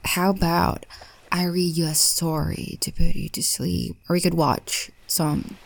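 A woman performing a roleplay monologue, speaking mostly in a soft, breathy whisper with a teasing, doting manner. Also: fairly low pitch, fairly even intonation, a little slow, dry recording.

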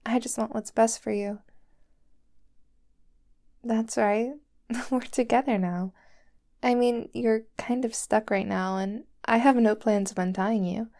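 A woman voicing a doting, possessive young girlfriend who holds her lover captive, talking softly and sweetly in a whispery voice. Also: a little high, fairly even intonation, slow pace, long pauses. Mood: soothing. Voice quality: soft and whispery. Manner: sweet, clingy, coaxing. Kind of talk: roleplay audio drama to the listener.

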